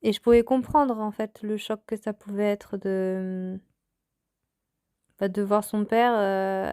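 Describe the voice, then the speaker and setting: A woman in her thirties, from France, hosting her own podcast and telling a personal story in a calm, reflective way. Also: mid-range voice, clean tone, no room sound, studio quality. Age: 30s. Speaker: podcast host.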